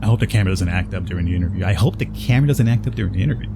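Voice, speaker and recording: A man's voice: low-pitched, racing, creaky, heavy background noise. A man doing a let's play, reading game dialogue aloud and commenting in a casual, hesitant, conversational way.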